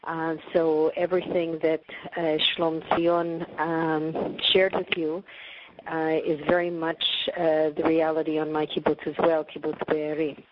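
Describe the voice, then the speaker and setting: A woman's voice: low-pitched, little pitch movement, unhurried, highs cut off, a little hiss or hum. A woman with a Canadian accent, a nonprofit director, addressing donors in a conversational way with many fillers. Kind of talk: speech to donors.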